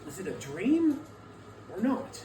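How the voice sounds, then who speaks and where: Jittery old miser acted by a man, high, swooping pitch, stammering and hesitant, stage reading heard from afar in an echoey room. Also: big pitch swings, takes it slowly, noisy background, full character voice. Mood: anxious. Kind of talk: theatrical dramatic reading. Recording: distant echoey room, music behind.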